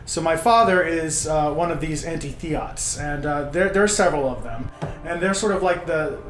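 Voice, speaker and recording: A man, a tabletop player, casually and haltingly describing his game character. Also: on the high side, fairly bright tone, faint music behind. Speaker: tabletop role-playing player. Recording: echoey room with faint noise.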